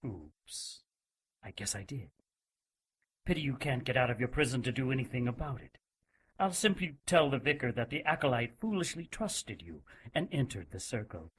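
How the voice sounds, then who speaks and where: An actor playing a cold, mocking villain sorcerer in an audio drama, theatrical and smug. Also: male voice, mid-range voice, very animated, normal speed, a bit bright, a little hiss or hum, rough recording. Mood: smug mockery. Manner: smug, mock-regretful, theatrical.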